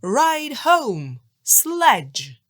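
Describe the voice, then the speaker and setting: Woman acting a carefree young village lad, lazy, animated pitch, close dry sound, storybook tale. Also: extremely slow, dry recording, heavily acted. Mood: commanding. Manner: calling out commands. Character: Yemelia, lazy carefree young peasant man of a folk tale. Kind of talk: children's storybook character reading.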